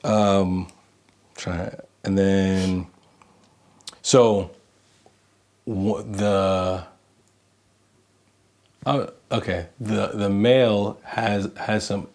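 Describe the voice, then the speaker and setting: A man in his thirties co-hosting a marriage podcast in casual talk, halting and restarting as he searches for words. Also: deep voice, a little mellow. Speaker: podcast co-host, relationship coach. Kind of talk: casual conversational podcast chat.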